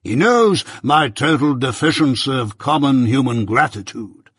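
A man voicing a pompous, self-righteous older merchant who lectures slowly and loudly in a raised, husky voice. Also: medium pitch, animated, no echo, clean studio sound, pitched above the performer's own voice. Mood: lecturing. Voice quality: pompous, preaching, drawn-out emphasis.

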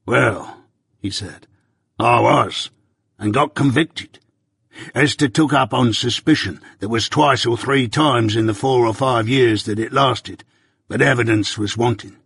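A man doing a rough old ex-convict. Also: medium pitch, unhurried, a bit bright, no echo, studio quality, heavily acted. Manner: rough rustic dialect, confiding storytelling. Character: rough older male ex-convict telling his past.

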